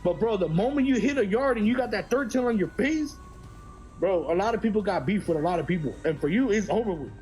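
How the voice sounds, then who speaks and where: A man's voice: high-pitched, expressive, fast, darkish tone, faint music behind. A man giving a blunt, insistent street-slang lecture, repeating himself. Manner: insistent, repetitive.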